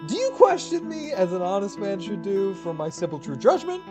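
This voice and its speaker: A woman's voice: medium pitch, big pitch swings, normal speed, over music, lightly acted. A woman playing a witty, scornful young male soldier in a Shakespearean radio comedy, with a sardonic, theatrical manner. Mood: dry wit.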